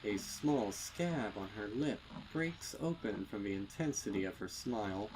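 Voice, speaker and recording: A man narrating a dark story with intense, theatrical delivery and deliberate phrasing. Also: lowish voice, animated, noisy background. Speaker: fan fiction narrator. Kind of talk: dramatic scripted horror story narration.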